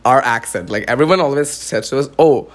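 A young man hosting a podcast in casual chat, raised in India after a US birth, talking with lots of "like" fillers. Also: medium pitch. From born in the US, raised in India. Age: young adult. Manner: fast, filler-heavy talk with many "like"s. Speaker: podcast host.

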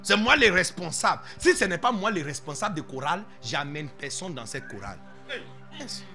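Lively high-voiced preacher, faint music. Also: male voice, normal speed. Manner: animated, playful preaching with English interjections. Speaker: pastor. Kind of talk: sermon.

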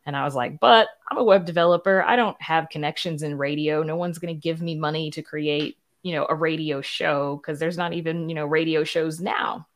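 A woman's voice: sits low, fairly even intonation, a little fast, few pauses. A woman, a podcast creator, telling her story casually as an interview guest.

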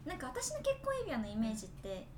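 A woman's voice: high voice, wildly swooping pitch, quick pace, darkish tone, a little hiss or hum. A woman co-hosting a podcast, telling anecdotes in casual conversation with friends. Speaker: podcast co-host.

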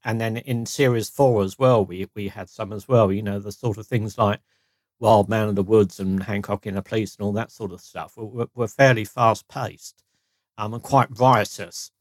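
A man's voice: lowish voice, steady tone, normal speed, dry recording. A man co-hosting a podcast, chatting casually and thinking aloud as he goes. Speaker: podcast co-host. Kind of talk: casual chat.

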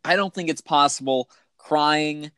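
A man with a raspy voice co-hosting a casual podcast, talking in an enthusiastic, joking, rambling way. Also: a little high, measured pace, pushed and bright, no room sound, clean studio sound. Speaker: podcast co-host. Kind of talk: casual chat.

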